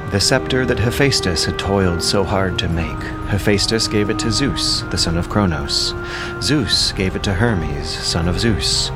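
A man giving measured, theatrical narration of an epic audio drama over music. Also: low voice.

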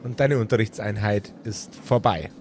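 A man's voice: fairly low pitch, normal speed, darkish tone, slight background noise. A man narrating an improvised tabletop game as game master in a casual, playful way.

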